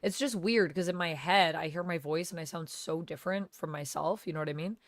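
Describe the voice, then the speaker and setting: A woman streamer under the weather with a cold, talking casually with a gravelly, smoky voice as she chats with viewers and thanks them by name. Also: fairly low pitch, brisk, no room sound, clean studio sound. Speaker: live streamer. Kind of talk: casual live-stream chat, reading viewer comments. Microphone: gaming headset.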